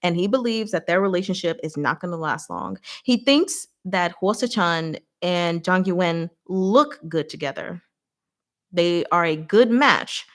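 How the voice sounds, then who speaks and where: A woman hosting a casual live book discussion, retelling the plot in an animated, giggly, informal way with acted-out asides. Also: lowish voice, measured pace. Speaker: host of a livestream book discussion. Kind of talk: casual chatty live story recap with commentary.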